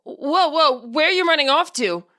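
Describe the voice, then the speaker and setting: A woman puts on a nervous middle-aged male spirit for a fantasy audio story. The voice is pitched high and bright, with a cautious, apologetic stammer. He comes across as friendly and unsure of himself. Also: expressive, normal speed. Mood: nervous, pleading.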